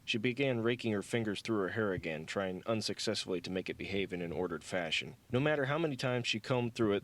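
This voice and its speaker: A man's voice: fairly low pitch, low-quality recording. A man reading scripted fiction as an audiobook narrator.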